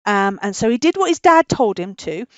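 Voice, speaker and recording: A woman hosting a children's storytime, telling a tale informally in simple, animated explanations. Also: medium pitch, normal speed, no echo, lo-fi sound. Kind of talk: informal storytelling to a puppet dog.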